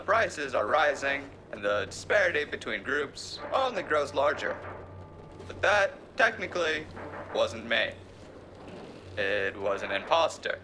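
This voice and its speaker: A man playing a bumbling, evasive city politician who delivers a speech in a pompous, halting, awkwardly oratorical way in an audio drama. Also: mid-range voice, noisy background. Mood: defensive, pleading.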